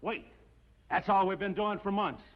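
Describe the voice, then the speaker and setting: An actor playing a restless, hesitant American outlaw gang member in an old TV western, laconic in speech. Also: male voice, high voice, moderate pace, a little hiss or hum, natural voice. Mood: wary, grumbling. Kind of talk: 1950s television western acting. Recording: dull old TV western soundtrack.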